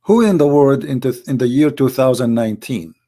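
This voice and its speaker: A man's voice: medium pitch, expressive, a little fast, warm tone. A man hosting a religious video, delivering an argumentative monologue in non-native English phrasing. He speaks emphatically, repeating points and asking rhetorical questions.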